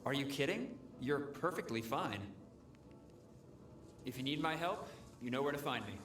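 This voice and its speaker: A man's voice: mid-range voice, lively intonation, normal speed, natural voice. A man playing an earnest, idealistic field medic in a video game, talking informally and with enthusiasm. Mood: earnest, enthusiastic. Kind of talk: video game character dialogue. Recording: echoey room, music behind.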